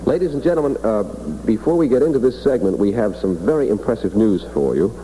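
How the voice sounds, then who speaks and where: A man's voice: on the low side, lively intonation, fast, dark tone, slight background noise. A man hosting on radio, making a formal on-air introduction in an old recording. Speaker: radio host. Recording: reverberant, faintly humming old radio recording.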